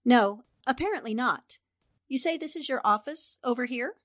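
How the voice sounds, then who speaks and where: An actress playing a determined, inquisitive newspaper reporter in a 1940s radio drama, with a probing manner. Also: female voice, high voice, expressive, normal speed, fairly bright tone.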